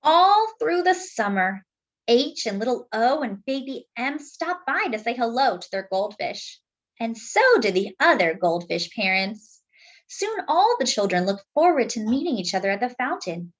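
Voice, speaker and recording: High, very animated woman reading a storybook. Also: moderate pace, highs cut off. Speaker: children's story reader. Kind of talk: expressive picture book read-aloud.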